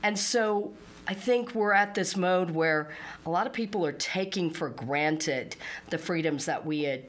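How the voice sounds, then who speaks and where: A woman's voice: on the low side, a little slow. A woman hosting a radio talk show from the studio, with a polished and upbeat announcer delivery.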